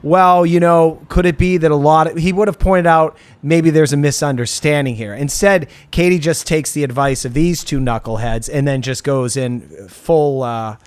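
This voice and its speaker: Male co-host, slightly high voice, wry and unfiltered, loose conversational recap banter. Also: steady tone, normal speed, few pauses, clean tone. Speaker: podcast co-host. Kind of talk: casual comedic TV recap chat.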